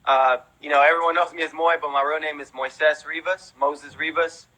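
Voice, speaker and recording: Bright-voiced American man, casual storyteller. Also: a little high, little pitch movement, moderate pace, poor audio. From raised in Houston, United States, born in Mexico. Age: 30s. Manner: informal, peppers talk with "you know" and "man". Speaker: interview guest, veteran breakdancer.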